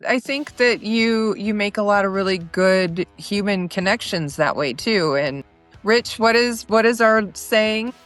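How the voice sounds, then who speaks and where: A woman hosting a relaxed, conversational interview as she talks with her guest. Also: mid-range voice, a little slow, soft background music. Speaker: interview host.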